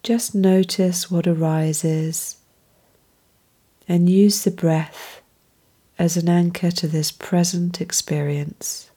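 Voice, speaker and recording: Very slow, mellow woman guiding meditation. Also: on the low side, slightly rough. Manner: calm, soothing, unhurried with gentle phrasing. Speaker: meditation guide. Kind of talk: guided mindfulness meditation.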